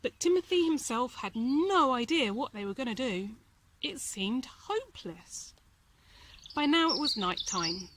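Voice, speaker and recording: A woman reading a children's storybook aloud in an expressive narrating style. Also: high-pitched, slight background noise. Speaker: volunteer storybook reader.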